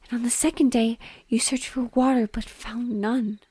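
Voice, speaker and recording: Woman acting as a sorrowful female banshee, breathy whisper, higher-pitched, tender and devoted, intimate audio drama. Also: steady tone, mellow, no echo. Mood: mournful. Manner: slow, tender, mournful.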